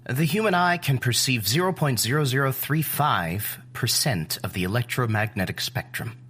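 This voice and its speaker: An actor playing an earnest, cerebral scientist in an audio drama, explaining deliberately and searching for simple words. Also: male voice, medium pitch, animated, fairly bright tone, slightly rough. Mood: didactic. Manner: deliberate, groping for simple analogies. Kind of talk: naturalistic audio drama acting.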